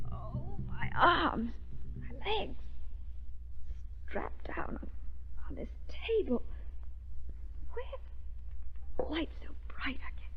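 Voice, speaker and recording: An actress playing a frightened but defiant captive heroine in 1930s radio drama, breathless and dramatic. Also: female voice, sits high, full character voice. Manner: breathless, dramatic, halting exclamations. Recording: muffled, noisy vintage 1930s radio recording.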